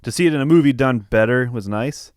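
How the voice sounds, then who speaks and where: A man's voice: medium pitch, fairly quick, a little mellow, clear, resonant tone, no echo, clean studio sound. A man co-hosting a podcast in casual film review chat, often hesitating and rewording mid-thought. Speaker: podcast co-host.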